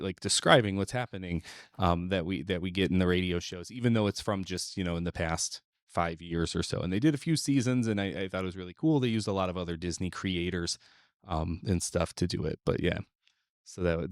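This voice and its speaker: A man's voice: sits low, clear, resonant tone, no echo, studio quality. A man co-hosting a podcast in casual chat, rambling loosely from thought to thought. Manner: rambling, peppers talk with "like". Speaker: podcast co-host.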